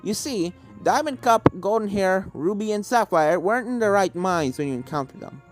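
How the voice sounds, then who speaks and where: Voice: mid-range voice, expressive, normal speed, soft background music, close to an everyday voice. A narrator voicing a kindly, serious male pony professor who explains things formally in a read-aloud story. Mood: explanatory.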